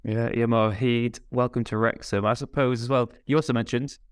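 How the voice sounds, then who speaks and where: A man's voice: medium pitch, fairly even intonation, normal speed, smooth, no room sound, clean studio sound. A man hosting a podcast, asking long, rambling conversational questions. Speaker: podcast host. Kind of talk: casual podcast interview.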